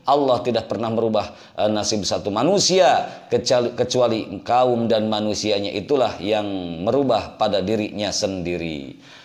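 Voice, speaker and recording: A man's voice: medium pitch, a little mellow. A man from West Java preaches to a congregation as an Islamic teacher, explaining didactically with repeated phrases and rhetorical questions. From West Java, Indonesia. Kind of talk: religious sermon to a congregation.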